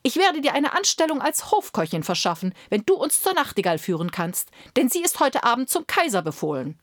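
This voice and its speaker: A woman's voice: fairly high pitch, sing-song, a little fast, no echo, clean studio sound, pitched above the performer's own voice. A woman voicing a pompous male court chamberlain who speaks in a flowery, fussy way.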